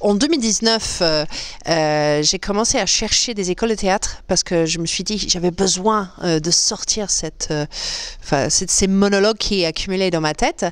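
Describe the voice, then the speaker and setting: A woman comedian from England speaks in a smooth, clear voice. She answers interview questions casually, hesitating with plenty of fillers and laughing easily. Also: medium pitch, normal speed, no room sound. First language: English. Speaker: comedian guest.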